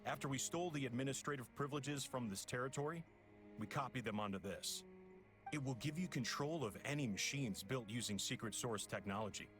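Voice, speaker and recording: An actor playing a cool, scheming villain leader in a video game, composed, smug and precise. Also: male voice, mid-range voice, fairly quick, over music, natural voice. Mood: cool, calculating. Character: cool, scheming male villain leader explaining his plan.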